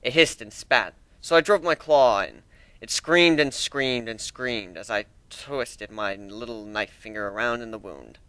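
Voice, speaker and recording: A man's voice: mid-range voice, a little slow, fairly bright tone, smooth, no room sound. A man narrating a story as an amateur reader, in a dramatic first-person reading style.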